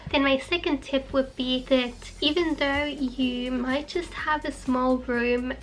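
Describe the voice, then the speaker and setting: A woman's voice: high-pitched, fairly even intonation, takes it slowly. Young woman vlogger with a cold. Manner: chatty, apologetic, uses lots of fillers like basically. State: slightly hoarse from a cold. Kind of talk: casual talk to camera.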